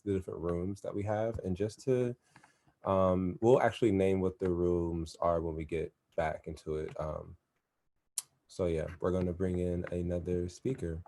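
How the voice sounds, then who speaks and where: A man hosting a live-streamed event, making announcements in a relaxed, chatty way with many fillers. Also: low voice. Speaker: event host.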